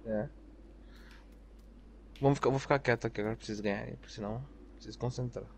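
A man chatting and teasing his squad mates while playing a shooter, often shouting excited callouts. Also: medium pitch, fast. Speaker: streamer in squad. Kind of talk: casual gaming chat over voice chat. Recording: noisy game audio with gunfire.

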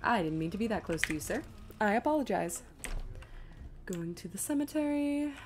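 A woman's voice: mid-range voice, lively intonation, normal speed, background noise. A woman streamer giving casual live gameplay commentary, chattily thinking aloud to herself and her viewers. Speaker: video game streamer.

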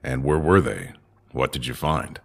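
An actor playing a commanding, guarded alien council official in a sci-fi audio drama, with a gravelly, husky voice and questioning manner. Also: male voice, a bass voice, expressive, a little slow, heavily acted. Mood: probing, businesslike. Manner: authoritative, questioning.

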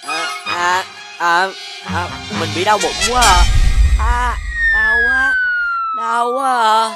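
A woman's voice: medium pitch, fairly even intonation, slow pace, natural voice. Cartoon pig child, chubby and greedy, whiny and emphatic, played by a woman, music underneath. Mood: whiny, pained. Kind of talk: cartoon dubbing.

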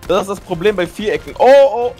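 A man's voice: high-pitched, quick pace, darkish tone, soft background music. A young man in casual gaming banter, talking as a fellow player on voice chat.

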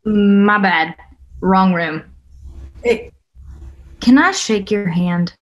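A woman's voice: mid-range voice, very slow. A woman playing a young, awestruck female fighter in a script table read, casual and a little hesitant. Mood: admiring. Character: young wiry female fighter, awestruck yet sizing up rivals.

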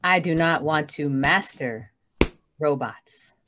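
A woman plays a rebellious robot in an old-time radio drama. The voice sits low and speaks in flat, blunt declarations, cold and resentful of humans. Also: takes it slowly, noisy background. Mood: defiant, commanding.

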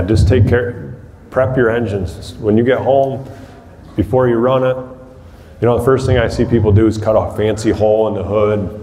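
A man in his thirties talks shop with a small crowd, his voice low and mellow. He speaks plainly and practically, with plenty of ums and restarts. The sound comes from a camera across a big, echoey room, with faint hiss underneath. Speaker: demolition derby builder giving a seminar. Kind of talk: casual talk to a small audience. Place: large hall with rows of empty chairs, indoors. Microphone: far camera across the room.

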